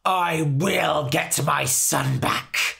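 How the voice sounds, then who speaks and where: A man doing a huge fairy king with a gravelly, rough edge and a sharp, bright bite to the voice. He drags every word out slowly, scornful and threatening. A storybook villain. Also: medium pitch, lively intonation, pitched below the performer's own voice, heavily acted. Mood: vengeful. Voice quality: gravelly, sneering. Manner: slow, menacing, growls and shouts.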